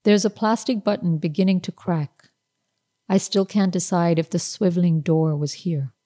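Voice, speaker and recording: A woman reads her own short story aloud, measured and unhurried. Her voice is low and mellow, with a soft, rounded tone. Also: steady tone. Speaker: author reading her story. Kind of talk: literary reading aloud.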